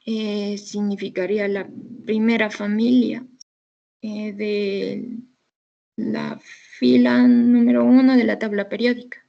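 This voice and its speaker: Young woman, student, creaky voice, slow hesitant answers, dull lo-fi sound. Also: mid-range voice, level tone. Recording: dull lo-fi call audio.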